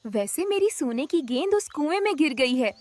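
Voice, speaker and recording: A woman voicing a spoiled, haughty young princess in an animated fairy tale, girlish and disdainful. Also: high voice, moderate pace, dry recording. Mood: coy, curious. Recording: music behind the voice.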